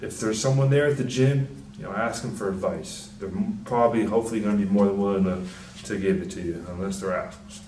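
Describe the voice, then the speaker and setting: A young man, a personal trainer, giving casual, rambling fitness advice in a deep, soft-spoken, mumbling voice, swearing freely. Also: quick pace, echoey room, recorded from a distance, a little hiss or hum, poor audio. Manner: laid-back, rambling, frequent swearing and fillers. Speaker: personal trainer and channel host.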